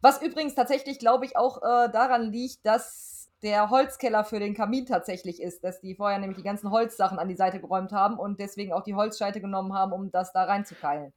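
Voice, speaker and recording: A woman's voice: medium pitch, fairly even intonation, quick pace, few pauses, darkish tone. A woman co-hosting a podcast, chatting casually and colloquially about an audio drama, with emphatic wording. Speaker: podcast co-host.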